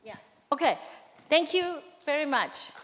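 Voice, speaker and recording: High-voiced woman moderating a stage panel. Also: expressive, fairly bright tone. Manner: halting, many fillers and restarts. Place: large hall on stage. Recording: reverberant hall, faint music.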